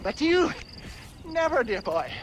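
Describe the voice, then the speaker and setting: A man's voice: very high-pitched, big pitch swings, measured pace, a bit bright, exaggerated voice. An actor voicing a theatrical, slippery snake oil salesman in a video game, florid and wheedling with stammered evasions. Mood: boastful, wheedling. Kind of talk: video game cutscene acting. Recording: faint outdoor background noise.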